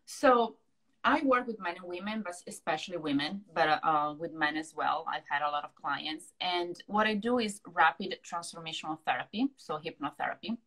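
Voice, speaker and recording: Italian-accented woman, lively chatty coach. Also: fairly low pitch, normal speed, poor audio. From Italy. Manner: expressive, chatty, frequent "you know" and "right". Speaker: guest, hypnotherapist and success coach. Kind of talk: video call interview. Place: small room at home. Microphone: video call, laptop or webcam mic.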